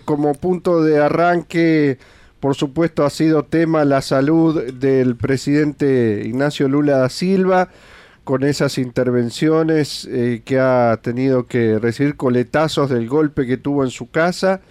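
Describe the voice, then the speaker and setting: A man's voice: fairly high pitch, darkish tone, no room sound. A man hosting a radio interview, chatting in a conversational way with hesitant fillers. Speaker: radio host.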